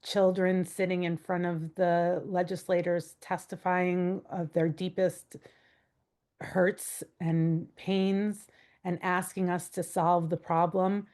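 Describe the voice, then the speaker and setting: Woman, interview, deliberate, slow pace, somewhat low voice. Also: flat delivery, a little mellow.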